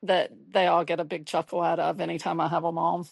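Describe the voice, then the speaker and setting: A woman's voice: lowish voice, flat delivery, a little fast, gravelly, slightly rough, no room sound. A woman with a Southern US accent from Mississippi, chatting easily as a podcast guest and laughing often. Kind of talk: casual interview chat.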